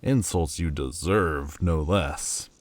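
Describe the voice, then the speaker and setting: A man's voice: extremely low pitch, slow. A man voicing a proud, prickly male Lord of Demons in a fantasy audio roleplay, haughty and sardonic with a grudging tenderness. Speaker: voice actor.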